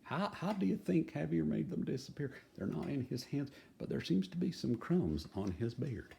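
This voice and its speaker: A man's voice: fairly high pitch, very animated, moderate pace, creaky. A man reading a children's picture book aloud in a folksy, playfully dramatic manner, adding chatty asides. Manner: folksy, playful dramatic delivery, dropping to hushed tones.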